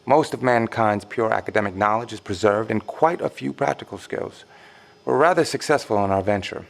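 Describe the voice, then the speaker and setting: Composed, gravelly, secretive man. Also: on the low side. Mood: measured, informative. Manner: measured, formal, wry, condescending. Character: secretive, composed male insider warning survivors of monsters. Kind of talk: audio drama acting.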